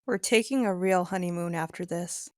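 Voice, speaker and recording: A woman's voice: lowish voice, moderate pace, a little mellow, no room sound, studio quality, natural voice. Woman playing a flirty, affectionate wife.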